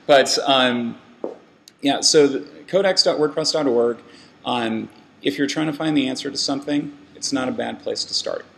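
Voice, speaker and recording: A man's voice: mid-range voice, moderate pace, vocal fry, a bit bright, slight background noise, rough recording. A man giving a casual talk to a meetup audience, rambling with lots of fillers and restarts. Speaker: meetup speaker. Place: meeting room with an audience.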